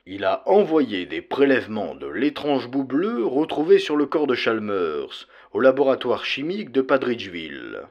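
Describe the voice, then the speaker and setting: A man's voice: mid-range voice, sing-song, normal speed, few pauses, lo-fi sound. A man narrating a horror audiobook in a dramatic, scripted reading style.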